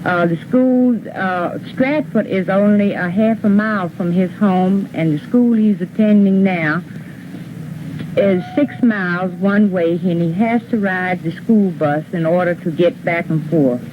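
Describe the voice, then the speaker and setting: A middle-aged woman, a parent, giving halting answers in a news interview. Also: fairly low pitch. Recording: dull old newsfilm, clear noise.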